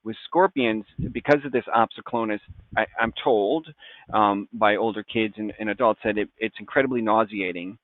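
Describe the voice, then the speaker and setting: A man's voice: lowish voice, moderate pace, dry recording. Middle aged doctor guy explaining stuff in a folksy way, sounds like a dry phone line. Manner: folksy, informal phrasing amid technical jargon. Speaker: physician guest, toxicologist. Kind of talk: conversational medical explanation. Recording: phone-like, narrow-band audio.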